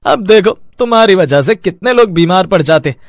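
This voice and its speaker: Petty villain vendor, male, jealous and scheming, high-pitched for a man acted by a man. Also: animated, brisk, no room sound, studio quality. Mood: taunting.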